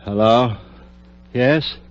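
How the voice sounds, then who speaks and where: An actor playing a weary, subdued scientist in a 1940s radio horror drama, on an old recording. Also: male voice, mid-range voice, drawn-out words, dull audio, slight background noise. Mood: subdued, resigned.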